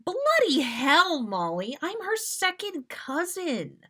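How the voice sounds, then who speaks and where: A woman's voice: very high voice, wildly swooping pitch, takes it slowly, sharp tone, voice pushed up, exaggerated voice. A woman voicing a cocky, joking, self-centered man from a storybook in an exaggerated, playful, blustering manner. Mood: appalled.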